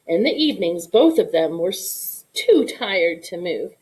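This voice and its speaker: A woman giving an expressive read-aloud narration of a children's story. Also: lowish voice, unhurried, low-quality recording. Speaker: storybook reader.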